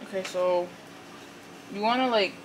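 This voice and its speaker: A woman's voice: mid-range voice, moderate pace. A woman explaining how to cook pancakes in a home kitchen, chatty and casual with lots of fillers. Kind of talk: casual cooking demonstration. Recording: home video, loud background noise.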